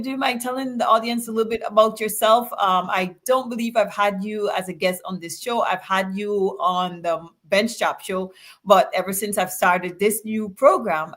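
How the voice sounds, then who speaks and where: A woman's voice: medium pitch, fairly bright tone. A woman from Guyana hosting a talk show on law, chatting casually with filler words. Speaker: host.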